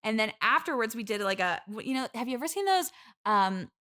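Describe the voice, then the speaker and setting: Bright, perky, clingy bridesmaid, played by a woman. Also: on the high side, sing-song, fairly quick. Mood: bubbly. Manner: gushing, eager, pushy. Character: perky, clingy bridesmaid desperate for new best friends. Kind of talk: improvised comedy interview, conversational.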